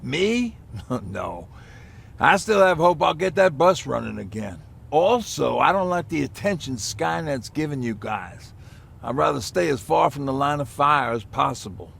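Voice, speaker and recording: Wary male civilian: heavy rasping fry, lively intonation, game cutscene. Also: fairly high pitch, unhurried, full character voice. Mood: wry, wary. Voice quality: gravelly. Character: wary, easygoing male civilian mechanic survivor. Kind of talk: video game dramatic acting.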